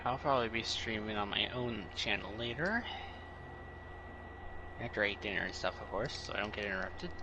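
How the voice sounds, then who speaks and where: Man chatting casually over clear noise. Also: lowish voice, fairly quick, pauses often. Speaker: co-commentator on a game stream. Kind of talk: casual chat. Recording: clearly noisy background on stream.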